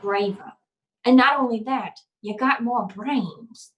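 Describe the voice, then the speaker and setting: A woman's voice: fairly high pitch, slow pace, noisy background. A woman playing a wry, slangy hardboiled male private eye in an old-time radio detective drama. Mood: gentle. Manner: slangy, wry, tough-talking. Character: hardboiled wisecracking male private eye, narrating his own case.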